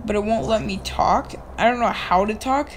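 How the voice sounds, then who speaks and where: A young woman with a slightly raspy voice, giving casual commentary over her own gameplay and yelling at other players. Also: mid-range voice, a little hiss or hum. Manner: excitable, yells at other players, quick laughs. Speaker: gaming channel host.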